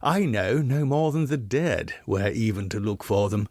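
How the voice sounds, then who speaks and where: A man's voice: on the low side, a little slow, darkish tone, no room sound, clean studio sound. A man voicing a polite, inquisitive young English solicitor in a gothic audiobook, earnest and formal in manner. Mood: conceding. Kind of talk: gothic audiobook character reading.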